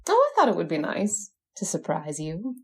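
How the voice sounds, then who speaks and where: A woman's voice: fairly low pitch, wildly swooping pitch, normal speed, a little mellow, husky, exaggerated voice. A woman playing a bossy, jealous witch wife in a radio horror drama, teasing and slyly menacing. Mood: teasing, cool. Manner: imperious, teasing, sly. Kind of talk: old-time radio horror drama acting.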